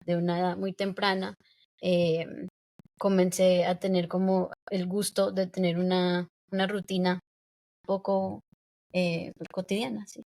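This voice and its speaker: A woman's voice: fairly low pitch, little pitch movement, measured pace, gravelly, darkish tone. Reflective young woman, hesitant speech. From United States. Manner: reflective, hesitant with many fillers. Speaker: podcast guest. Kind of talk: casual interview talk.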